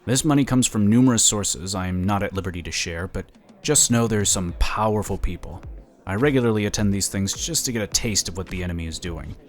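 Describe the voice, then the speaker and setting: Man playing a smug, low-voiced political schemer. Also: a little fast, lightly acted. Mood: confiding, scheming. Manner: chatty, smooth-talking, condescending. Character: smug, slick, scheming male political lobbyist. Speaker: voice actor in an audio drama. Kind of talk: sci-fi audio drama acting.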